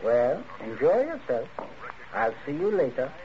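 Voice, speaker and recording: A man's voice: medium pitch, very animated, unhurried. An actor playing a genteel, domineering, scheming middle-aged father with clipped, proper manners in a 1940s radio suspense drama. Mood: falsely jovial. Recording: scratchy, muffled old radio broadcast, noisy.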